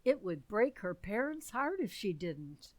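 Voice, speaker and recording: A sympathetic middle-aged mother acted by a woman in vintage radio comedy style. She speaks slowly and expressively, with a gentle concern and a dry sound. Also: mid-range voice, big pitch swings, dry recording. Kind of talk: old-time radio comedy acting.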